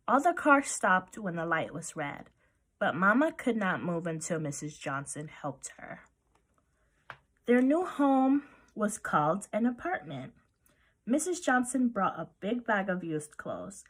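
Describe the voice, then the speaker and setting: A woman reading a children's picture book aloud as narrator, with expressive storytelling. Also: mid-range voice.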